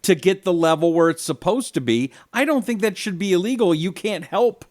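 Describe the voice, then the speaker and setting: Animated joking man, lively pitch. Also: fairly quick. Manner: joking, animated, does silly voices. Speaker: co-host. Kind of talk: casual chat.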